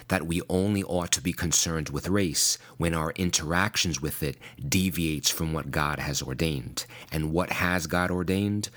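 A man from New York preaches in a very deep, low voice. His delivery is measured and emphatic, like a scripted sermon. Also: a bit bright. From United States (New York).

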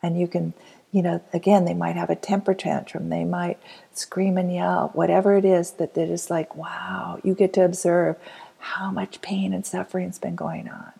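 A woman leading a guided inner child healing meditation, speaking softly and slowly and often dropping to a whisper. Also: lowish voice, fairly even intonation, creaky, breathy edge. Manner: soft, slow and soothing, often dropping to a whisper.

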